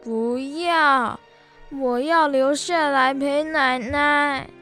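A woman's voice: high-pitched, fairly even intonation, very slow, fairly bright tone, faint music behind, heavily acted. A young female performer acting a tender-hearted, loyal young boy in a radio drama, with a Taiwanese Mandarin accent. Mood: pleading. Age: young adult.

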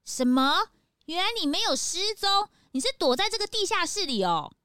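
A woman voicing a young, excitable animal sidekick with a childlike, wide-eyed manner in a children's audio drama. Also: very high voice, moderate pace, exaggerated voice. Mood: alarmed. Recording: long reverberant echo.

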